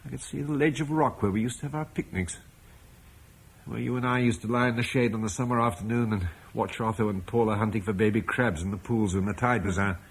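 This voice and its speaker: An actor playing a gentle, troubled Roman landowner and father, speaking reflectively in a vintage radio drama. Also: on the low side, fairly quick, breathy edge, poor audio. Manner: reflective, soft-spoken, haunted.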